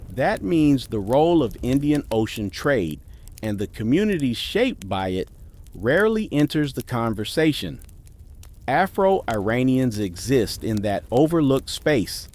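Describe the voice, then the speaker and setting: A man reading scripted documentary narration in a measured, emphatic way. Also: medium pitch, a little mellow. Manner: measured, emphatic list delivery.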